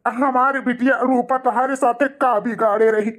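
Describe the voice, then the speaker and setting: A man's voice: extremely high pitch, fairly even intonation, normal speed, a bit bright. A man acts out an older, humble village father in a cartoon family melodrama. He speaks with an Awadhi accent, sentimental and resigned to his sorrow. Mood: wounded, resigned. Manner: stammering when shaken, sentimental.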